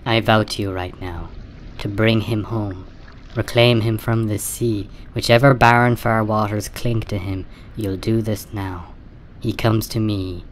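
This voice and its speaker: An actor playing a grieving, defiant wife and mother in a radio play, solemn, poetic and impassioned. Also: deep voice. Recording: noisy ambience around the voice. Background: ambient noise.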